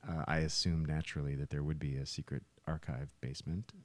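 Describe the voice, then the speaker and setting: A man's voice: a bass voice, little pitch movement, fast talker, no echo. An American man hosting a podcast, casually telling rambling anecdotes with a sarcastic streak. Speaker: podcast co-host. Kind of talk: casual chat, anecdotal storytelling.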